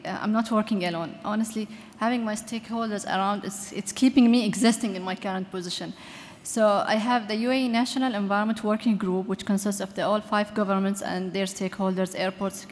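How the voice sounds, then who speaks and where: Lady on a conference panel, halting and earnest, mic echoing through a big hall, faint hiss. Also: medium pitch. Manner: earnest, halting with frequent restarts. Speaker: panelist, aviation environment official. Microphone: PA microphone. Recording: reverberant hall with faint noise.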